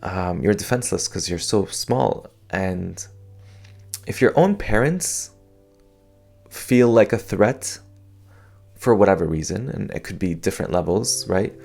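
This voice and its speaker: A man's voice: deep voice, animated, a little slow, vocal fry, pauses often, a little mellow, soft background music. A young man from Canada speaking in a thoughtful, hesitant way, with many fillers and restarts, as he reflects on personal experience. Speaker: podcast host.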